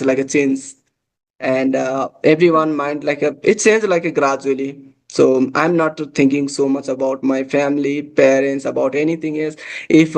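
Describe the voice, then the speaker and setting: A man from Nepal with a Nepali accent and native Nepali, chatting casually in halting, repetitive English. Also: fairly high pitch, creaky. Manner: halting, repetitive, says "like" often. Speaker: English conversation practice host. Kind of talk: casual conversational chat.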